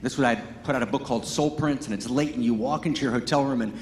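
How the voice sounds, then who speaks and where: A man's voice: mid-range voice, fast talker, a little hiss or hum. A man whose first language is Hebrew and who grew up in Massachusetts gives a Sunday sermon as a guest teacher. He tells it as a loose personal story, full of "you know" and "right?", and builds to emphatic passages. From Pittsfield, Massachusetts, US. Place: large church hall. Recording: echoey church hall with faint ambience.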